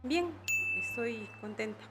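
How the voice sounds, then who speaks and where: A Salvadoran woman in her forties, an activist guest in an interview, speaking in a reflective, measured way with many pauses. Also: on the high side, expressive, loud music behind the voice. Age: 40s. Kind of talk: personal testimony in interview.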